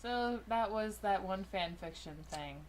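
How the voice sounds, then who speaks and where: A woman's voice: medium pitch, expressive, measured pace, darkish tone. A young woman co-hosting a casual group chat in a dry, understated manner. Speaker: podcast co-host. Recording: noisy room with background ambience.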